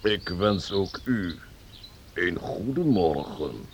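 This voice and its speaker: A man's voice: deep voice, lively intonation, extremely slow, slight background noise, full character voice. An actor playing a solemn, mysterious old man in a radio drama, speaking in formal, archaic, ceremonious language. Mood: solemn, withholding. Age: older.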